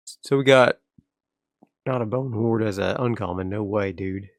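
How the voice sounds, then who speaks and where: A man's voice: on the low side, unhurried, dry recording, studio quality. A man playing a solo tabletop game, casually narrating and thinking aloud as he sets up his next area. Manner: relaxed, rambling, frequent restarts.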